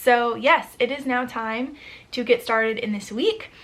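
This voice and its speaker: A young woman vlogging casually to camera, chatty and upbeat, her voice a little hoarse from overuse. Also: a little high, a little slow, fairly bright tone. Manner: chatty, upbeat, with frequent fillers. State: slightly hoarse, losing her voice. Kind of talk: casual vlog talk to camera.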